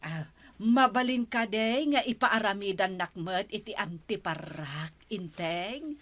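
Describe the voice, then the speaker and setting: Unhurried, nagging village auntie. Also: female voice, mid-range voice, a bit bright. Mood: wry, nagging. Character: nagging village auntie, the captain's wry relative. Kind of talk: comedic radio drama acting.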